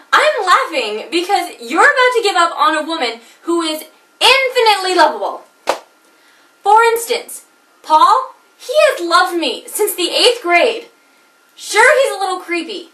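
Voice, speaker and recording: A woman's voice: very high-pitched, sharp tone, roomy sound, far from the mic, heavily acted. A woman performing a comedic monologue as a jilted wife, wry and theatrical, caught between laughing and crying.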